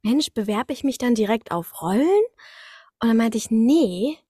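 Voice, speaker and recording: A woman talking casually as an interview guest, telling things in an animated, conversational way. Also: a little high, normal speed, husky, no echo, studio quality. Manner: animated storyteller, acts out short quotes, laughs often.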